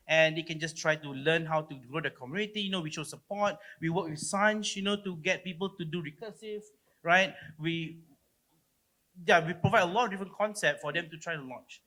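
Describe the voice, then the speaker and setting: A man's voice: on the high side, brisk, pushed and bright. A man with a Singaporean accent, speaking casually as a panelist at a conference, explaining things loosely and often tagging on questions. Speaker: panelist, platform co-founder.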